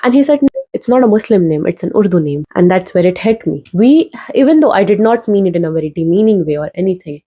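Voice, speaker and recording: A young woman with an Indian English accent makes an earnest, casual video essay to camera, with fillers and pauses as she urges viewers. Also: lowish voice, expressive, warm tone, muffled recording. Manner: earnest, persuasive, many fillers. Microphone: talking to camera.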